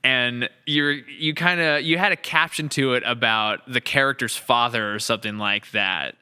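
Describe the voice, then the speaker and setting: A man's voice: medium pitch, normal speed, pushed and bright, clear, resonant tone. A man hosting a casual podcast interview, talking in a wordy, thoughtful, rambling way. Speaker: podcast host and series writer.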